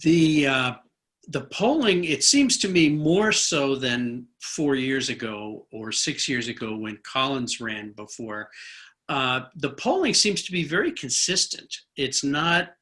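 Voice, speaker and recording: Male interviewer, crisp bright edge to the voice, conversational, slightly hesitant phrasing. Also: medium pitch, expressive, a little slow. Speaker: host interviewing a guest.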